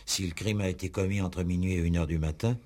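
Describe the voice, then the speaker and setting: An actor playing a troubled investigating judge in a 1960s French radio play, musing and questioning hesitantly. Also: male voice, low-pitched, steady tone, quick pace, darkish tone, lightly acted. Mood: probing. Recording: old radio drama recording.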